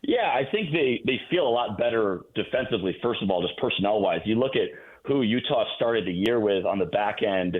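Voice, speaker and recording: A man's voice: fairly low pitch, animated, fairly quick, few pauses. A man giving sports analysis as a guest announcer on a radio show, heard over a phone-like line.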